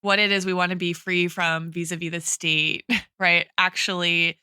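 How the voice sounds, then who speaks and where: Woman podcast cohost, bright n crisp voice, dry sound, academic but tentative, thinking out loud. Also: fairly low pitch, level tone, normal speed, no echo. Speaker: podcast co-host. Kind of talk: analytical conversational discussion.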